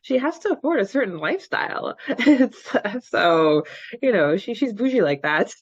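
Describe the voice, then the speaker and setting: A woman's voice: on the high side, sing-song, normal speed, husky, no echo, highs cut off. A woman co-hosting a podcast in casual, chatty talk, prone to giggling. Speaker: podcast co-host. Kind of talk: casual chat about a fan fiction.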